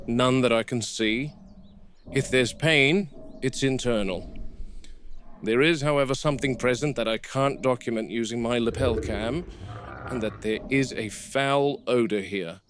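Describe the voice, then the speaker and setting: A man playing a calm, watchful game warden, speaking in a measured, clinical way as in a scripted field log on a noisy recording. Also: mid-range voice, close to an everyday voice. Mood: uneasy, observant. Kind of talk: scripted found-footage horror narration.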